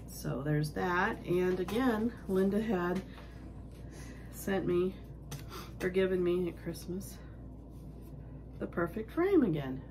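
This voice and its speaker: A woman with a low-pitched voice chats casually as she shows her handiwork. She sounds under the weather and sniffly, rambling along in a halting, homespun way. There is clear background noise on the home recording. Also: animated, darkish tone. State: sick and sniffling. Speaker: needlework vlog host. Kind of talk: casual show-and-tell chat.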